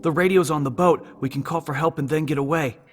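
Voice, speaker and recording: A man's voice: fairly high pitch, steady tone, quick pace, soft background music, pitched above the performer's own voice, lightly acted. A man playing a panicked older male lab worker in an audiobook, babbling and gasping as he begs. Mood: mindless panic.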